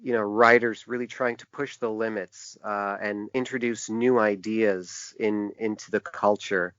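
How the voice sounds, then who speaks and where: A man with a fairly low voice talks as an interview guest. He speaks conversationally and haltingly, circling back to restart phrases. The sound is dry and somewhat dull, with a limited lo-fi band. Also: fairly even intonation, fairly bright tone, no echo. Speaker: podcast guest, book designer.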